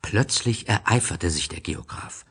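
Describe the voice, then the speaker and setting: A man's voice: fairly low pitch, lively intonation, unhurried, fairly bright tone, breathy edge. A man narrating a children's story as a scripted audio drama.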